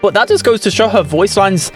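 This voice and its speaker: A man's voice: high voice, fairly quick, faint music behind. A young man giving casual, chatty gaming commentary in a run-on delivery. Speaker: gaming video host.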